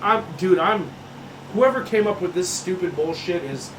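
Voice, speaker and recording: A man's voice: sits high, moderate pace, background noise. A man co-hosting a casual sports podcast, ranting emphatically and swearing often. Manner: heated, swearing, emphatic rants. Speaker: podcast co-host.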